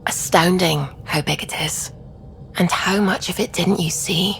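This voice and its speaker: A woman playing a thoughtful, devout scientist on a space expedition, explaining things in a measured, reflective way in video game dialogue. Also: fairly low pitch, vocal fry, fairly bright tone, faint music behind, low-quality recording. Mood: thoughtful, curious. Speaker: science officer character.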